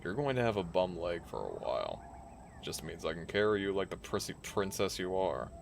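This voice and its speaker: An actor voices a cocky, rugged werewolf man for an audio drama. The voice is low and creaky, with a slow theatrical drawl that swings widely in pitch. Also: sing-song, background noise, full character voice. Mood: calm, dry. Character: cocky, teasing male werewolf, loyal and rugged.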